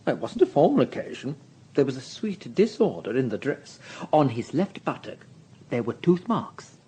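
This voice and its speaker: Smug, mellow, husky hotel owner. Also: male voice, medium pitch, very animated, moderate pace. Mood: arch, teasing. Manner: arch, insolent, languid. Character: smug, arch male hotel owner, camp and evasive. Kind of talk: radio drama dialogue.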